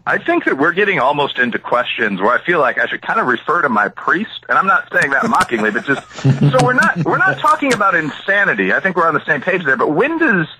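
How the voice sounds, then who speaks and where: A man's voice: a little high, very animated, brisk, hardly any pauses, pushed and bright, a little hiss or hum, poor audio. A middle-aged American man from the Chicago area giving analytical, opinionated interview answers as an academic, full of asides and examples. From Chicago area, United States. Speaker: interview guest, academic and author.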